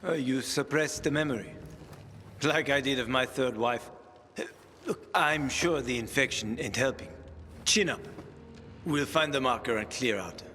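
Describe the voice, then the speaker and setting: An actor playing a seasoned, wry survivor guide in a video game, urging and gruffly reassuring his companion. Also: medium pitch, measured pace, bright, forceful tone. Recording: long hall reverb, background noise.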